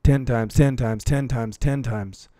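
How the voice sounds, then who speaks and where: A man's voice: mid-range voice, fairly even intonation, a little slow, dark tone, no echo, clean studio sound. A man hosting a live stream, commenting casually and chatting with viewers, often repeating phrases for emphasis. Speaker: livestream host and cryptocurrency trading commentator.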